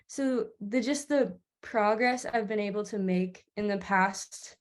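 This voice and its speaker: A woman's voice: mid-range voice, unhurried. A teenage girl student on a video call, talking reflectively with many fillers. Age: teens. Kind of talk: panel testimonial.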